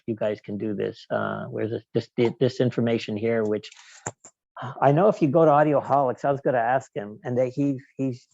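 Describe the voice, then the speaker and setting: Gravelly, mellow man explaining technical material. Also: mid-range voice, no echo, highs cut off. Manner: halting, digressive, explanatory. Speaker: guest lecturer, loudspeaker engineer. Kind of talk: technical presentation with question and answer.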